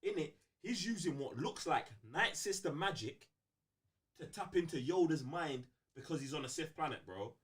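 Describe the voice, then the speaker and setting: A man with a London accent and a slightly high voice co-hosts a casual fan debate. His pitch moves in a very lively way. He talks in emphatic slang and often repeats a phrase to drive it home. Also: normal speed. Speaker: co-host of a fan talk show.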